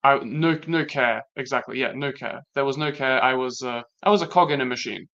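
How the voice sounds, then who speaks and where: A young man from Latvia, with Latvian as his first language, speaks in a casual conversation. His voice is bright and forceful, and his pitch sits in the middle. He talks reflectively and haltingly, restarting often, and the recording sounds dull and lo-fi. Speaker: guest.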